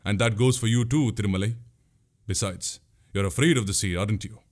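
A man's voice: on the low side, brisk, lightly acted. A man playing a bold, commanding young prince in a historical tale, with formal, resolute speech. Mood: decisive. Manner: formal, resolute, archaic wording.

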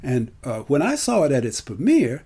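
A man's voice: medium pitch, wildly swooping pitch, normal speed, mellow, breathy edge. A man telling a personal story in a conversational monologue, emphatic and theatrical, with rhetorical questions. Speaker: film festival marketer and storyteller.

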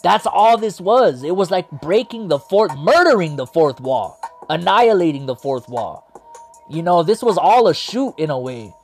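An excited man, rambling through a wrestling recap and losing his voice as he goes. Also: high voice, normal speed, faint music behind. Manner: hyped, rambling, heavy on "man" and "like". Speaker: wrestling show host. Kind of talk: excited casual commentary.

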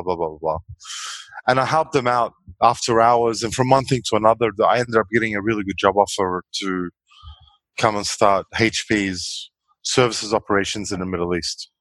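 A man's voice: fairly low pitch, a bit bright, no room sound, poor audio. A man in his forties, a guest chatting easily about his life and laughing now and then. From Australia. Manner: easygoing, chatty, laughs often. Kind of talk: casual interview chat.

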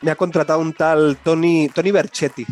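A man's voice: a little high, fairly even intonation, brisk, a little mellow, faint music behind. A man with a Spain Spanish accent, co-hosting a film podcast, enthusiastic and rambling as he gives his opinion. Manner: enthusiastic, rambling, many restarts. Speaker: podcast co-host. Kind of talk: casual film podcast chat.

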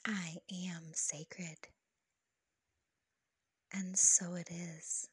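A woman leading a scripted guided meditation with affirmations, speaking in a soft, breathy, soothing and measured way. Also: lowish voice, flat delivery, no room sound, clean studio sound. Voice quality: soft, breathy, partly whispery. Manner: soothing, slow, measured delivery.

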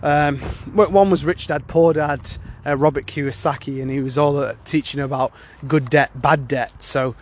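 A man's voice: fairly high pitch, steady tone, moderate pace, hardly any pauses, a little hiss or hum. A man hosting a casual solo podcast, sounding congested from a cold and rambling with many fillers and restarts. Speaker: podcast host.

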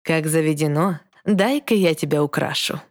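A woman playing a stately old mermaid grandmother, measured and instructive, in a fairy-tale audio play in verse. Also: deep voice, lightly acted. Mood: solemn, fond.

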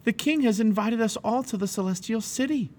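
A man's voice: high voice, very animated, brisk, darkish tone, pitched above the performer's own voice. A man voicing an earnest, fervent young male pilgrim who pleads and trusts, in a children's storybook. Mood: urgent pleading.

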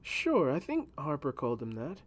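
Gentle patient castle servant read by a male narrator for an audiobook; coaxing, lively intonation. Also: on the high side, moderate pace. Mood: reassuring. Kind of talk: audiobook character voice.

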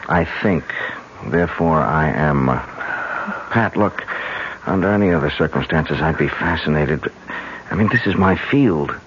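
Rational young husband, radio drama, very deep voice, learned and earnest, muffled vintage sound. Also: male voice, lively intonation, measured pace, slightly rough, full character voice. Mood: earnest, troubled. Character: earnest, rational newlywed husband, a psychic researcher. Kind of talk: 1970s radio drama acting. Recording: dull old radio broadcast recording.

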